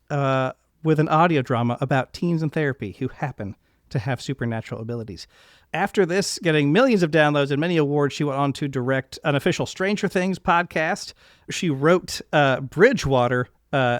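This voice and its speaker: A man's voice: a little high, no echo, clean studio sound. A man hosting an interview podcast, speaking in an earnest, effusive way. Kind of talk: podcast interview introduction and chat.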